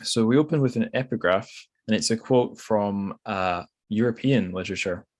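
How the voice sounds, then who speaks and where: A man's voice: on the low side, moderate pace, darkish tone. A man hosting a podcast in casual, informal chat, musing and correcting himself as he goes. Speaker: podcast host.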